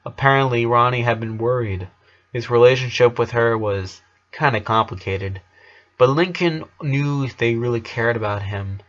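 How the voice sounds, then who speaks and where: A man's voice: medium pitch, normal speed, a bit bright, muffled recording. A man reading a story aloud as narrator, in an amateur scripted style.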